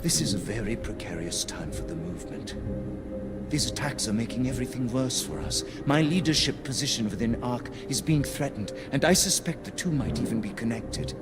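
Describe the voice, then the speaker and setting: An actor playing a principled, embattled pacifist leader in a video game cutscene, speaking with solemn, formal eloquence. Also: male voice, medium pitch, lively intonation, a little fast, close to an everyday voice. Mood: earnest, troubled. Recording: loud music behind the voice.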